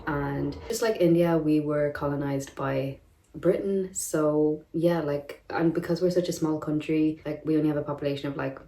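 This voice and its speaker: A woman's voice: low voice, dark tone, roomy sound, a little hiss or hum. A young Irish woman chatting casually to camera, filling her talk with "like" and laughing between points. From Ireland. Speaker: vlogger.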